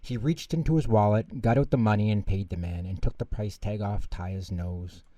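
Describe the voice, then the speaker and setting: A man reading a children's bedtime story aloud in an animated narrating style. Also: lowish voice, normal speed. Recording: roomy echo, distant mic.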